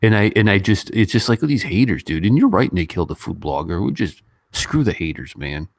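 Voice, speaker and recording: Male livestream host, low-pitched and informal, rambling conversational delivery, very dry close sound. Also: brisk, creaky, no echo. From Western country. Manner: informal, animated when mimicking others, rambling. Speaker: YouTube host. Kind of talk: casual livestream chat and commentary.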